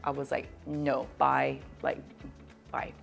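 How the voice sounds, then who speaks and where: Low, gravelly-voiced young woman. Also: takes it slowly, soft background music. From Thailand. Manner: expressive, animated, laughs often. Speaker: guest in a debate show. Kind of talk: casual conversation.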